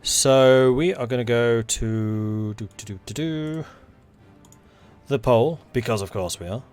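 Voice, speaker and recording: A man's voice: medium pitch, slow, darkish tone, clear, resonant tone, faint music behind. A Scottish man giving casual let's-play commentary on a strategy game, chatty and wry, with joking asides.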